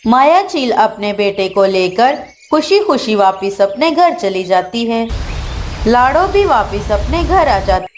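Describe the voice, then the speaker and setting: A woman's voice: a little high, sing-song, slow, slight background noise. A woman narrating a scripted children's story.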